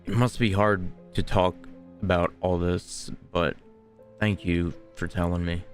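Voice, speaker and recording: Male gaming host, laid-back offhand delivery, very low and slow, game music clearly behind. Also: flat delivery. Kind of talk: casual game playthrough, reading lines aloud.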